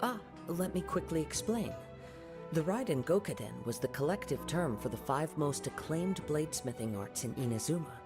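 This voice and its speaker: A man voicing a calm, humble, polite young samurai in a video game, speaking formally and gently. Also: a little high, moderate pace. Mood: explanatory. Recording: game music underneath.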